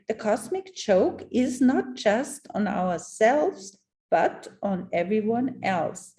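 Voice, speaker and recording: Very slow, mellow female storyteller. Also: on the high side. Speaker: narrator reading aloud. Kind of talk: dramatized storybook-style reading.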